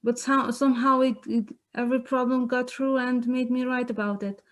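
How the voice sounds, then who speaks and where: High, flat-toned young Kosovan woman. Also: moderate pace. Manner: earnest, searching for words. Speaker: playwright on a panel. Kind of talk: unscripted panel answer. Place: online webinar.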